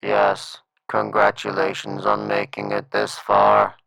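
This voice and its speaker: A mysterious sci-fi radio villain, speaking through a voice modulator. The voice is extremely low and full of gravelly creak. Every phrase comes slowly, with ominous formality. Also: very animated, fairly bright tone, no echo, exaggerated voice, low-quality recording. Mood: ominous, menacing. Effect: deep voice modulator. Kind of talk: comic sci-fi radio play.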